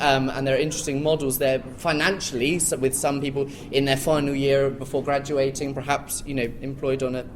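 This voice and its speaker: Light-voiced man presenting facts, noisy recording. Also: a little high. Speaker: panellist, pantomime researcher. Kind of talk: informed panel discussion with statistics. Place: theatre, live panel. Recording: noisy live room recording.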